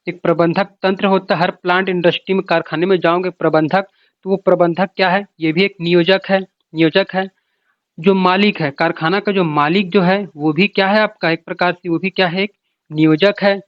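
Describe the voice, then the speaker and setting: Man, high-pitched voice, coaching teacher, informal explanations, repetitive question-and-answer delivery, dry room, dull lo-fi audio. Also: steady tone, normal speed, dry recording. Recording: dull lo-fi sound.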